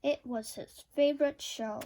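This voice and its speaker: Female storybook reader, high pitch, unhurried, expressive delivery for young children. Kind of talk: children's picture-book read-aloud.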